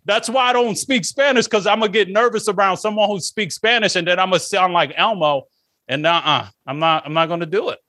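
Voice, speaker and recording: A young man riffs on a podcast, cursing casually and tossing off silly impressions. His voice sits a little high for a man and rings bright and forceful. The sound is close and dry, with no room around it. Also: a little fast, no echo. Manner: profane, jokey, does silly voice bits. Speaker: podcast co-host. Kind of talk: casual chat.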